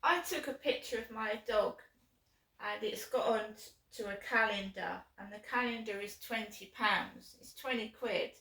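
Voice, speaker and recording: A woman with a sore throat vlogging alone from her flat, rambling and aggrieved, with many ums. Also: mid-range voice, normal speed. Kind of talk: casual chat to camera. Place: small flat, indoors. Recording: echoey room, mic at a distance.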